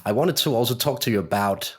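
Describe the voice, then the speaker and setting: A man co-hosting a podcast, putting questions to a guest in measured, somewhat formal phrasing. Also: medium pitch, breathy edge. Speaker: podcast co-host, interviewer. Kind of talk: podcast interview.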